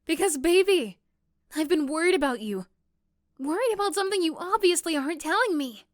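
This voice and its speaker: A woman's voice: very high voice, lively intonation, fairly quick, no echo, studio quality. A woman voicing a possessive yandere girlfriend in an ASMR roleplay, coaxing and pleading with tender pet names. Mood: desperate, pleading.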